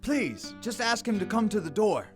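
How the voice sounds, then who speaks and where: A man playing a reformed old miser in an audio drama, giddy, warm and exclamatory, often repeating himself. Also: high-pitched, sing-song, moderate pace. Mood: humble, affectionate. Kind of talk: theatrical audio drama acting. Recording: music playing behind the voice.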